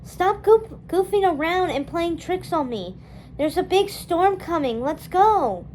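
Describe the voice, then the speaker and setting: A woman's voice: very high voice, animated, measured pace, darkish tone, a little hiss or hum, pitched above the performer's own voice. A woman voicing an anxious, frightened girl in a children's storybook read-aloud. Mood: worried shouting. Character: anxious girl, frightened and pleading with her friend.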